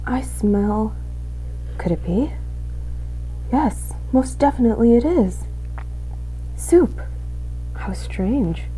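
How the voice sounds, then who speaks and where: A woman's voice: on the high side, lively intonation, takes it slowly, long pauses, mellow, a little hiss or hum, pitched above the performer's own voice, low-quality recording. A woman voicing a sinister, gleeful male rat in a storybook, savoring each phrase with theatrical self-questioning. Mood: sly delight. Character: devious one-eared male dungeon rat, gleefully sinister.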